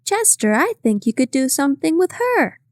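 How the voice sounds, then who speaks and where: A woman reading aloud, voicing a shrill, self-satisfied woman who speaks vigorously and with affectation. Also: expressive, measured pace, no room sound. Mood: eager.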